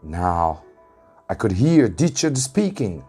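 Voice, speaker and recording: A man with a deep, mellow, somewhat husky voice reads a story aloud like a dramatic audiobook. His delivery is measured and deliberate, with emphasis on key moments. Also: big pitch swings, faint music behind. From Egypt. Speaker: English teacher reading a story aloud.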